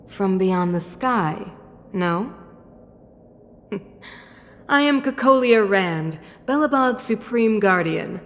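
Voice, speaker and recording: An actress playing a regal, composed supreme ruler in a video game, stately, deliberate and condescending. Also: female voice, medium pitch, a little hiss or hum. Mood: gracious, poised. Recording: long hall reverb.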